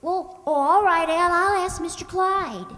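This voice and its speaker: A woman acts a sweet, scatterbrained secretary in a radio comedy. She is naive and earnest, with a distinctly high voice. The sound carries long hall reverb. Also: measured pace, sharp tone, heavily acted. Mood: earnest, cheerful. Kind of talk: old-time radio comedy acting. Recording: echoey hall recording.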